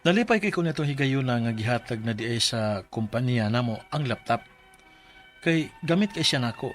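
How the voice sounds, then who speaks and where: A man's voice: medium pitch, lively intonation, normal speed, a bit bright, faint music behind, natural voice. An actor playing a polite, weary young male office employee in a Filipino radio drama, speaking with a Cebuano accent. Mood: weary, rueful. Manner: polite, deferential. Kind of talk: Filipino Bisaya radio drama, letter narration and acted dialogue.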